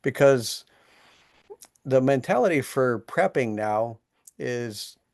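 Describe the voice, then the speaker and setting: A man speaking at an online town hall, explaining disaster resiliency in a relaxed, plainspoken way. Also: mid-range voice, slow pace, studio quality. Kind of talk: casual conversational explaining.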